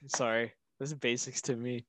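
A man's voice: mid-range voice, little pitch movement, normal speed, a bit bright, no echo. A young man co-hosting a podcast, trading casual, swearing, joking banter. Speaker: podcast co-host.

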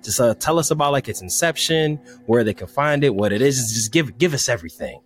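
A man's voice: mid-range voice, expressive, brisk. A man hosting a podcast, chatting in a casual, slangy way. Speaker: podcast host.

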